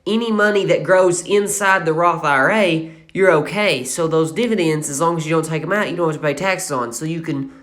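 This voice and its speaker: A young man in his twenties with an Appalachian accent talks casually over a screen recording of his investments. His voice sits a little higher than most men's, and he rambles with lots of fillers and restarts. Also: fairly quick, few pauses, fairly bright tone. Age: 20s. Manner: rambling and casual, many fillers and self-corrections. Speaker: channel host.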